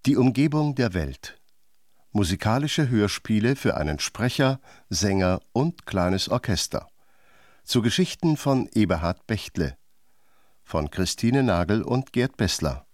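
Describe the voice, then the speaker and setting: Male announcer for public radio, low voice, formal scripted delivery, dry studio sound. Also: animated, a little mellow, no room sound. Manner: formal, measured. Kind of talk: scripted programme introduction.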